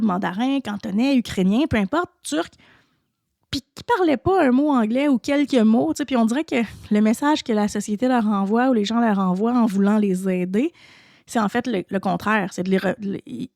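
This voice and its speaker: A woman's voice: medium pitch, gravelly, darkish tone, no room sound, clean studio sound. A woman with a Quebec French accent, hosting a podcast as a French teacher and talking casually to listeners with many restarts. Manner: conversational, many restarts and "tu sais" fillers. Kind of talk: casual solo podcast talk.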